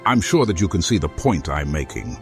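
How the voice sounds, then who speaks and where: A man playing a courteous, earnest former foe in a fantasy game, speaking formally and deliberately as he offers help. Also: deep voice, big pitch swings, faint music behind. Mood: earnest, storytelling. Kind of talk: video game character dialogue.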